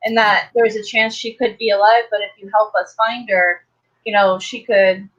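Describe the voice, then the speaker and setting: A woman's voice: medium pitch, fairly even intonation, moderate pace, a bit bright. A woman in a casual panel discussion, explaining her points a bit tentatively over dull, lo-fi call audio. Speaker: talk show panelist.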